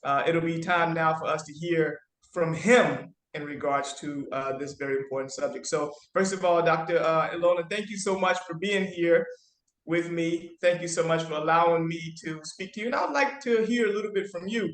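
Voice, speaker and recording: A man hosts an interview in a halting, formal way, pausing often between phrases. His voice sits a little higher than most men's. The room around him rings with a noticeable echo. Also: a bit bright. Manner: halting, with frequent pauses. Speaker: interview host.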